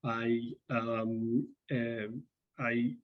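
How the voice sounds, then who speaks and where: A man's voice: medium pitch, flat delivery, extremely slow. A man in his sixties from North Carolina, talking as a guest over a video call, hesitant with many fillers. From North Carolina, USA. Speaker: guest speaker. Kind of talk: personal talk over video link. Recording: dull lo-fi call audio.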